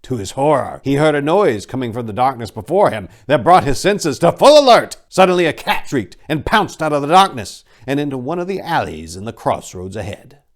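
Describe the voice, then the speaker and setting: A man's voice: medium pitch, very animated, a little fast, few pauses. Theatrical man with widely swinging intonation. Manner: theatrical, emphatic. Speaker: narrator and host. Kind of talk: dramatic story reading.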